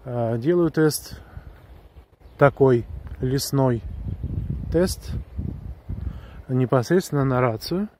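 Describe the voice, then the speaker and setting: A man talking casually to viewers while walking outdoors, explaining things with drawn-out hesitations. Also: mid-range voice, darkish tone, a little hiss or hum. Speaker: vlogger reviewing a gadget. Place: outdoors on a woodland path, walking. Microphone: handheld camera or phone held close while walking.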